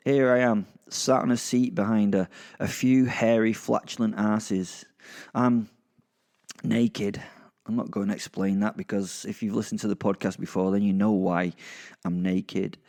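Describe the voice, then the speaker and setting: A man's voice: lowish voice, gravelly, darkish tone. A man with a British accent playing a sardonic, foul-mouthed werewolf serial killer who narrates in a rambling, confiding way. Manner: rambling, confiding, sweary, dry. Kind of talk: dramatised first-person narration to listeners.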